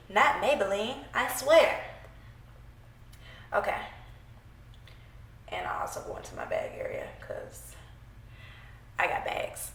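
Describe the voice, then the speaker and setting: Congested woman with a gravelly voice. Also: medium pitch, fairly bright tone, echoey room, a little hiss or hum. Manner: chatty, playful, talks to viewers while working. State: congested from a cold. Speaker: beauty vlogger. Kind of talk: casual makeup tutorial chat. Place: small room at home, indoors.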